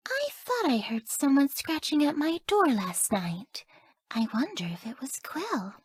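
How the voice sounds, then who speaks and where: A dreamy, easily confused young woman from a video game. Her voice is high and soft, drifting slowly along with wide-eyed wonder and airy, gentle phrasing. The melody swings widely. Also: sing-song. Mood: puzzled, uneasy. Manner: soft, airy, gentle, often dropping to breathy near-whispers. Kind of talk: video game character voice lines.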